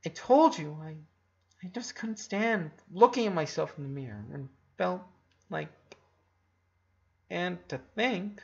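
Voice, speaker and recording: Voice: medium pitch, animated, measured pace, creaky, pauses often, muffled recording, voice pushed up. A narrator voicing an anguished, defensive eleven-year-old boy who pleads and stammers in a dramatic story. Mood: pleading.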